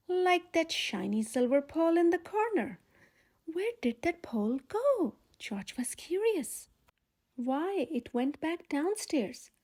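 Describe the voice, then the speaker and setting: A woman narrates a picture book for small children. Her voice is high and swings widely in pitch, with a soft, mellow tone. She reads expressively, leaning on key words. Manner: expressive, emphatic delivery for young children. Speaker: storyteller, channel host.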